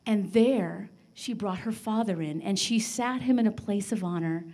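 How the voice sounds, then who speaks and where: An older woman telling a children's story live in an expressive, theatrical manner with dramatic pauses. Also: medium pitch. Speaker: storyteller on a children's radio show. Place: large library room, live before a seated audience. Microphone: handheld microphone.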